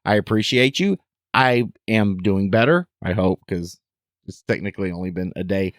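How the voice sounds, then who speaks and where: A man's voice: lowish voice, moderate pace, no echo. Man, 50s, podcast co-host; teasing, jokey banter, dry close sound. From Texas. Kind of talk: casual chat.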